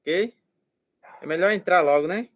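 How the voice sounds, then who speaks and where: A male language teacher explaining slowly and patiently over a video call, repeating words and checking that students follow. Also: high-pitched. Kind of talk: online class explanation.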